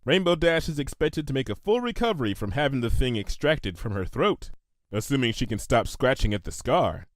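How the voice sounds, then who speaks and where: A studious unicorn mare of science, acted by a man, confident and clinical as if dictating notes. The storybook voice is raised in pitch, with animated swings and a dry sound. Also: fairly quick, dry recording, natural voice. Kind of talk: fan fiction storybook character voice.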